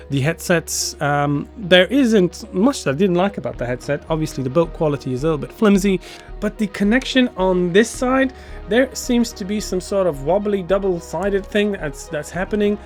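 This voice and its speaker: A man giving a casual, unscripted gear review to camera, enthusiastic but halting, often repeating words and phrases. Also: a little high. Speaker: product reviewer.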